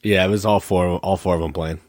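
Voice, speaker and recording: A man's voice: low-pitched, fairly even intonation, fast talker, a little mellow, no room sound. A man co-hosting a podcast in casual chat, making dry, wry remarks. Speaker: podcast co-host.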